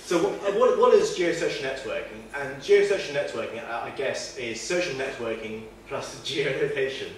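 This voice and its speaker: A man's voice: medium pitch, lively intonation, moderate pace, hardly any pauses, far from the mic. A man giving an informal talk to a meetup audience, chatty and self-deprecating, with many fillers and restarts. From not from northern England. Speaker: presenter at a meetup. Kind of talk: informal presentation. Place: event room with audience. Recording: very echoey room, faint noise.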